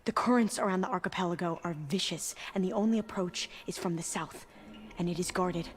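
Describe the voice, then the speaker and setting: An actress playing a devout, guarded woman in a video game, speaking in a formal, measured, reverent way. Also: lowish voice, slight background noise, close to an everyday voice. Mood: guarded, solemn. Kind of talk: dramatic video game acting.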